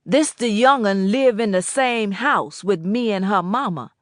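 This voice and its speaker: A woman voicing a folksy, complaining older southern man who talks in a loud, drawling rural dialect. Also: mid-range voice, unhurried, dry recording, studio quality, lightly acted. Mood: grumbling folksy.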